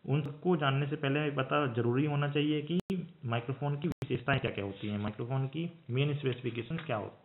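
The audio is narrow and phone-like. A man with a mid-range voice gives an explanatory lecture. He is didactic and restates his points in other words. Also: medium pitch. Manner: didactic, rephrasing each point. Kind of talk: explanatory slide lecture mixing Hindi with English technical terms. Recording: thin phone-like band-limited audio.

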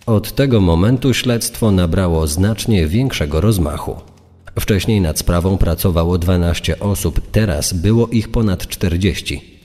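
A man's voice: sits low, a little slow, mellow. A man delivering scripted true-crime narration. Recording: long reverb.

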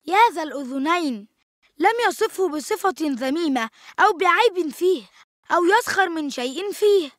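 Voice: very high voice, expressive, normal speed, a bit bright, no room sound, clean studio sound. An actor voicing an earnest boy in a cartoon, who speaks to his brother in an instructive manner. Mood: earnest, advising. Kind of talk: animated cartoon dialogue.

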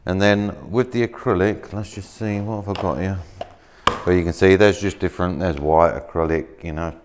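A man in his thirties casually showing materials at a workshop table, talking in a relaxed, rambling way with plenty of fillers and restarts. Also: sits low, moderate pace, noisy background. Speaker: maker demonstrating laser-cut materials. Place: workshop, indoors, at a work table.

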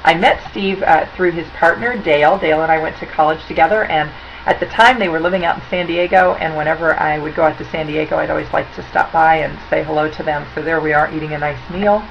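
A woman's voice: deep voice, fairly even intonation, fast, gravelly, dull audio, slight background noise. A woman in her forties, speaking to a webcam in a chatty, upbeat, conversational way as she presents tips over slides. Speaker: auction consultant presenting tips. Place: indoor room. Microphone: webcam at desk.

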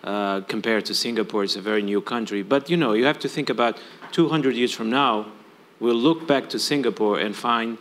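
A man's voice: mid-range voice, fast, a bit bright, slight background noise. A man in his forties on a conference panel, speaking through a lapel mic in a reflective, conversational tone with some dry humor. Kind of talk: panel discussion. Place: conference stage.

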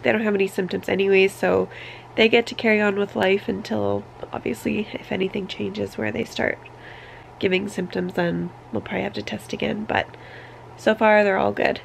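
A woman's voice: medium pitch, little pitch movement, moderate pace, gravelly, slight background noise, rough recording. A woman in her thirties with a congested, sick voice, giving an informal, hesitant vlog update into a handheld camera. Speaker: family vlogger. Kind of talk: casual personal vlog update. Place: small bedroom, indoors. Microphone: camera held at arm's length.

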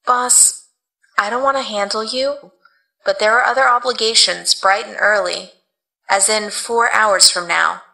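An actress playing a loyal, practical assistant who speaks earnestly and asks questions. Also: female voice, mid-range voice, steady tone, unhurried, gravelly, sharp tone, poor audio. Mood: urging. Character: loyal young woman assistant, practical and caring. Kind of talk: radio drama acting.

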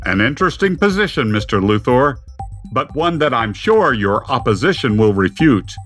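Very animated TV moderator. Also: male voice, medium pitch, normal speed, soft background music. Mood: polite, brisk. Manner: formal, presenterly. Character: polished male TV debate moderator. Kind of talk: audio drama acting.

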